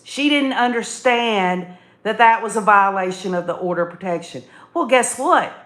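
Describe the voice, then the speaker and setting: A woman with a slightly high voice hosts an opinionated livestream. She talks straight to her listeners in an emphatic, folksy way, often repeating a phrase to drive it home. The room around her voice is clearly echoey. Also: fairly bright tone. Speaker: livestream host commentator. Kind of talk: opinionated live commentary, reading viewer comments.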